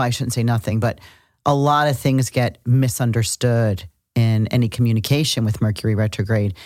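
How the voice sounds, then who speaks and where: Woman, middle-aged, strikingly low register, conversational podcast co-host who thinks out loud, dry studio-like sound. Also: dry recording. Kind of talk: casual chat about astrology.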